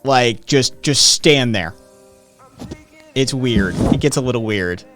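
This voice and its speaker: A man's voice: mid-range voice, lively intonation, slow pace, music in the background. A man hosting a live-streamed talk show, speaking casually with many hesitations and answering viewers' comments. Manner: hesitant, many "um"s, reads chat aloud. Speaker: podcast host. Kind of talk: casual chat.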